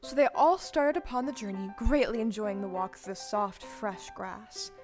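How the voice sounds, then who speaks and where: A woman's voice: mid-range voice, normal speed, darkish tone, soft background music, close to an everyday voice. A woman playing the storybook narrator in an audio play, reading scripted narration. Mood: storytelling.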